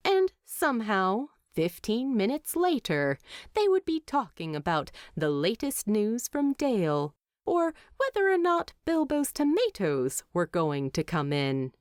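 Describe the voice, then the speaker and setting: Unhurried, expressive woman storyteller. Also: mid-range voice, dry recording, studio quality. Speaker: narrator. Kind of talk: scripted story narration, expressive.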